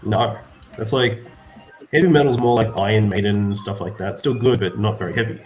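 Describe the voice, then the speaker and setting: Low-voiced man making dry remarks. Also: a little fast, darkish tone, faint music behind. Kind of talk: casual chat.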